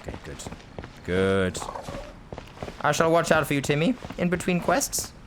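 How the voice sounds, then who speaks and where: A man's voice: on the high side, very animated, unhurried, noisy background. A man from Britain giving casual, rambling let's-play commentary as he plays, chatty, self-mocking and often laughing. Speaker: gaming video host.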